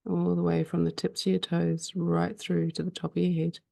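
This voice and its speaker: A woman guides a meditation in a calm, soothing manner. Her voice is mellow, with a heavy creak at its edges, and it sits very close and dry. She leaves frequent pauses between phrases. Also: fairly low pitch, no echo.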